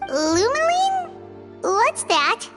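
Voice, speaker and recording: A woman voicing a tiny, childlike fairy companion in a video game, with a squeaky, blunt, inquisitive manner. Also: sing-song, very slow, music in the background, exaggerated voice. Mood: curious.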